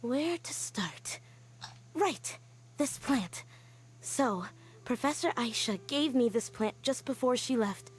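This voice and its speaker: A woman voicing an earnest young woman scholar in a game, telling her story quietly and sighing often. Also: on the high side, lively intonation, takes it slowly, husky, full character voice. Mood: wistful. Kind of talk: game cutscene voice acting.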